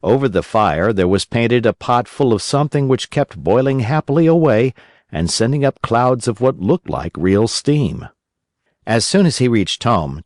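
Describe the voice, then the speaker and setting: A man reading a children's story as an audiobook narrator, in a gentle, expressive storytelling manner. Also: fairly low pitch, no echo, studio quality.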